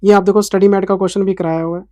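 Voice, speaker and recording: A man's voice: high voice, flat delivery, rapid-fire, a little mellow, no room sound, studio quality. A man in his forties, an accounting teacher giving a revision lecture in a passionate, scolding then explanatory manner, with an Indian English accent. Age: 40s.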